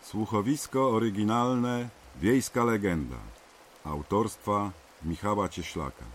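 A man's voice: lowish voice, measured pace, a little hiss or hum. A man giving a scripted title announcement as the announcer of an audio drama.

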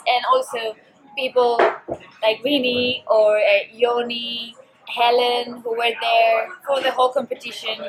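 A woman's voice: on the high side, low-quality recording. A woman who helps organise tag rugby events, answering interview questions hesitantly, with many fillers and laughs.

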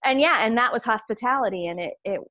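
A woman's voice: on the high side, animated, fast, sharp tone. A woman in casual conversation, reflective and chatty, trailing off with fillers.

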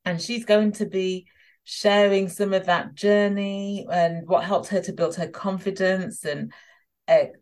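A woman hosting an interview in a conversational style, speaking in measured phrases with pauses mid-sentence. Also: medium pitch, darkish tone. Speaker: interview host. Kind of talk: conversational hosting, introducing a guest.

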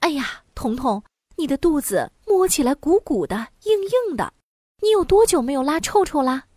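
A woman's voice: sits high, sing-song, moderate pace, darkish tone, dry recording, clean studio sound. A woman voicing a worried, soothing mother in a storybook. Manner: anxious, soothing. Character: fussing, caring mother.

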